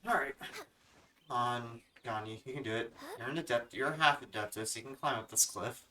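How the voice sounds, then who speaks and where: A man's voice: medium pitch, a little fast, sharp tone, distant mic. A man livestreaming a video game, chatting casually to his viewers and grumbling with mild swearing as he plays. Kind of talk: casual gameplay commentary to chat. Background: video game music.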